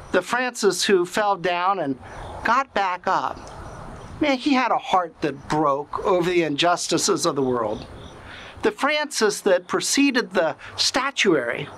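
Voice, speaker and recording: A man's voice: high voice, a bit bright, slight background noise. A middle-aged man, a priest, giving an earnest, reflective sermon outdoors on a sunny lawn. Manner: slow, earnest, reflective preaching.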